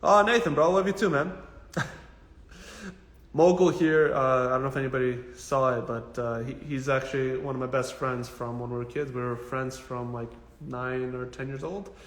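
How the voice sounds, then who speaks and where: A man giving casual, informal health advice as a physical therapist answering questions, in a blunt, jokey, animated way with emphatic repetition. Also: medium pitch, moderate pace. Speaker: physical therapist hosting a live Q&A. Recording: echoey, reverberant room.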